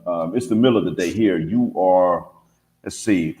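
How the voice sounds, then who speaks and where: A man who is a guest on a live stream, arguing his points one by one in a measured, casual debate. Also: low voice, mellow. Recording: roomy echo.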